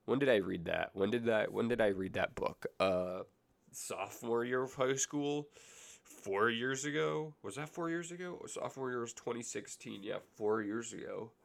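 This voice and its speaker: A man's voice: medium pitch, normal speed, creaky. A man of about twenty with a stuffy, congested nose, hosting a casual solo podcast from a basement room, rambling through tangents and correcting himself. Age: around 20. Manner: rambling, goes off on tangents, self-correcting, joking sarcasm. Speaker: podcast host.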